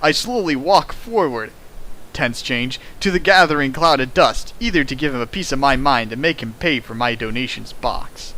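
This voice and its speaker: A young man reading a fan fiction aloud and riffing on it, with big comic swings of pitch. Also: moderate pace, low-quality recording. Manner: animated, jumps in pitch for jokes and sound effects. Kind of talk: comedic dramatic reading with riffing.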